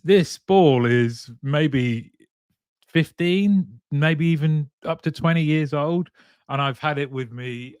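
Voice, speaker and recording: A man's voice: medium pitch, dry recording. A man from the UK hosting a casual livestream, reading viewer comments aloud and laughing often.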